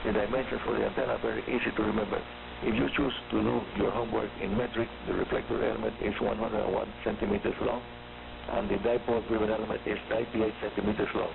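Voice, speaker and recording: A man with a Cuban accent hosting a scripted amateur radio program, explaining in a brisk, clear way and spelling things out phonetically. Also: on the low side, little pitch movement. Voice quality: gravelly. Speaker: radio show host. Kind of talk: scripted radio talk for hobbyists. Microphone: studio microphone heard over a radio receiver. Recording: tinny shortwave radio reception with mains hum and static. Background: hum and static.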